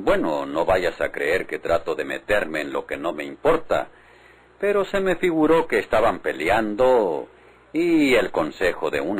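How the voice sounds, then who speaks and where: An actor playing a sly, powerful Mexican country boss in a vintage radio drama, folksy and insinuating, with menace under the friendliness. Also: male voice, sits low, husky, exaggerated voice. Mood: prying, insinuating. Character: sly, powerful rural patron, falsely friendly, veiled menace.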